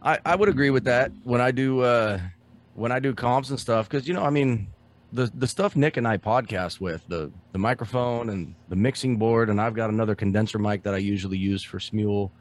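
A man co-hosting a podcast in casual chat, loud and excitable, swearing freely. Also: medium pitch, a little fast. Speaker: podcast co-host.

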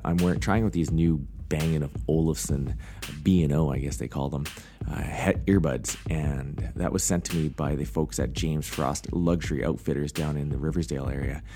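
A man with a very low voice hosts a podcast in loose, casual talk. He rambles along in a jokey, self-deprecating manner. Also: warm tone. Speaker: podcast host.

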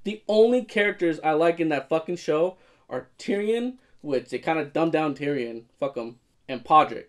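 A man's voice: on the high side, animated, normal speed. A young man co-hosting a casual podcast, blunt and teasing, who swears often and rants freely. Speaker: podcast co-host. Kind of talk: casual banter.

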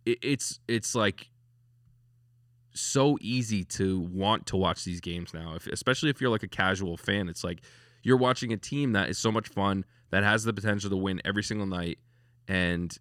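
Low-voiced man hosting a sports podcast. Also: normal speed, fairly bright tone, dry recording, clean studio sound. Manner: rambling, lots of restarts and fillers, laughs easily. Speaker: podcast host. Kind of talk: casual sports chat.

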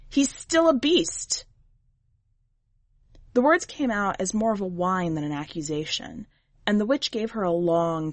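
A woman's voice: on the low side, no echo. Woman narrating a story, dry sound. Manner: measured, expressive reading. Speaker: podcast editor reading fiction. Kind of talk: audiobook narration.

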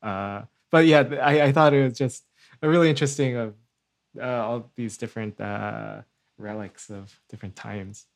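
Man musing softly in casual chat. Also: mid-range voice, normal speed.

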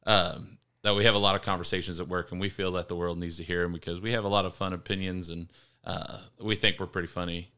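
A man's voice: sits low, level tone, quick pace, bright, forceful tone, smooth. A man in his thirties hosting a casual podcast with friends, joking and arguing his point with plenty of ums and uhs. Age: 30s. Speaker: podcast host. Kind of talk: casual chat among friends.

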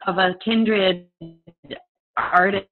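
A woman in her forties, a poet and author, speaking candidly and reflectively on a video call interview, often pausing with fillers. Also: medium pitch, fairly even intonation, faint music behind. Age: 40s. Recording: tinny phone-like audio over a video call.

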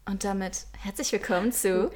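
A woman's voice: mid-range voice, steady tone, moderate pace, darkish tone. Blunt young woman with dry humor. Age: 20s. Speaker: podcast co-host. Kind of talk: casual chat.

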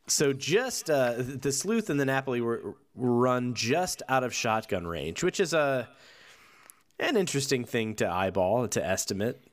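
Relaxed man reading aloud on a podcast. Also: medium pitch, normal speed. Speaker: podcast co-host reading from a book. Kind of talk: casual podcast chat with read-aloud passages.

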